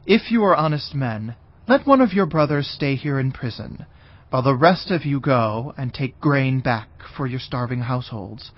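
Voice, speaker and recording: An actor playing a harsh, accusing governor of ancient Egypt in a dramatized audio Bible, speaking deliberately. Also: male voice, medium pitch, animated, close to an everyday voice. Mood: firm, commanding. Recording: dull audio with music.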